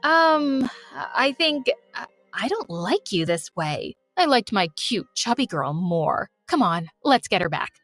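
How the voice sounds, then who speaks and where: A woman voicing a self-centered middle-aged mother, a former model, in a lower, dismissive voice. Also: sing-song, measured pace, hardly any pauses, fairly bright tone, faint music behind. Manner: dismissive, condescending.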